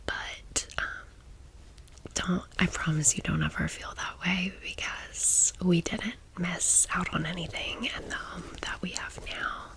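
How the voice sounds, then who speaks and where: A woman of thirty-five speaking in a breathy whisper, chatting informally and confidingly to the listener as an ASMR host. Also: on the low side, sing-song, unhurried, a bit bright, rough recording. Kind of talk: whispered ASMR storytime, casual chat.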